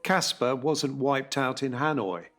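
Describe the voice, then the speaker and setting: A man playing a bitter, defensive racing driver in an audio story, with a husky voice and an indignant, sweary manner. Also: mid-range voice, animated, measured pace, a bit bright, soft background music, pitched above the performer's own voice. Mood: angry, wounded. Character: bitter, defensive male racing driver, losing his job.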